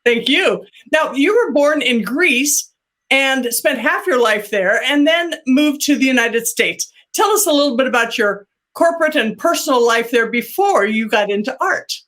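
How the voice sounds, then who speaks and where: A woman hosting an arts web video series, reading scripted introductions and interviewing a guest in an even, clear delivery. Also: high voice, moderate pace. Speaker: host.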